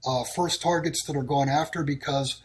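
A man's voice: a little high, fairly even intonation, normal speed, slight background noise. A man speaking as a guest on a talk show, giving blunt, declarative warnings in short, clipped sentences. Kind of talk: casual talk-show answers. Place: in Texas.

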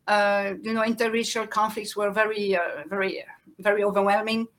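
A middle-aged woman with a French accent, a guest telling her life story in a halting way, often restarting and searching for words. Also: mid-range voice, level tone. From born in Central Africa, raised in France. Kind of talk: personal life story in conversation.

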